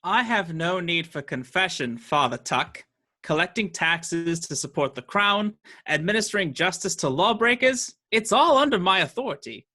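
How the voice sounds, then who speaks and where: An actor playing a pompous, menacing, domineering high sheriff in a radio play. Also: male voice, expressive, moderate pace, sharp tone, exaggerated voice. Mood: imperious. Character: haughty, menacing High Sheriff of Nottinghamshire, male.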